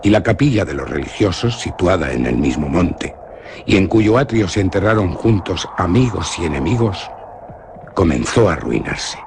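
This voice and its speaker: A man's voice: low voice, sing-song, normal speed. An actor with a Spain Spanish accent playing an earnest, brooding young nobleman in a radio play, speaking solemnly and intimately, on a hissy old recording. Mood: foreboding. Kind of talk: 1950s-style radio drama acting.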